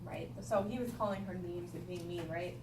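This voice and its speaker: A woman's voice: lowish voice, fairly even intonation, fairly quick. A woman teaching young children in a classroom, directing them and prompting them with questions. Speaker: primary school teacher. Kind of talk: interactive classroom read-aloud discussion. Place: indoor school classroom. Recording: echoey distant classroom recording, clearly noisy.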